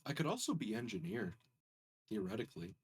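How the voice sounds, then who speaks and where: Husky man, slightly low voice. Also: sing-song, brisk, no room sound. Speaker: tabletop game player. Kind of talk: casual game table chat.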